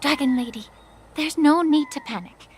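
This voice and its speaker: An actress playing a composed, dignified, coolly reproving official in a video game, speaking formally and with poise. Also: female voice, high voice, moderate pace, slight background noise, lightly acted. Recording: game music behind the voice.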